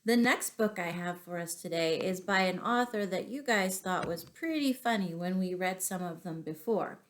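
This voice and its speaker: A woman teacher reading poems and picture books aloud to young children, as an expressive, deliberate storyteller. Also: on the low side, a little hiss or hum.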